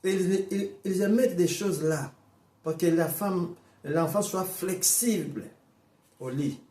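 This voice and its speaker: A man preaching a sermon in as a Portuguese first-language speaker, emphatic, repeating and restarting phrases, swinging between slow stressed words and quick bursts. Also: sits high, mellow, roomy sound. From Portuguese-speaking Africa.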